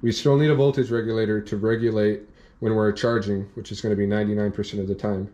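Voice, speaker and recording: A man with a fairly low voice talks through a repair at his workbench in a workshop. His delivery is plain and practical, moving step by step with the odd restart. He sounds like a young host of a hands-on how-to video. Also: little pitch movement, quick pace, dark tone. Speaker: off-road channel host demonstrating a repair.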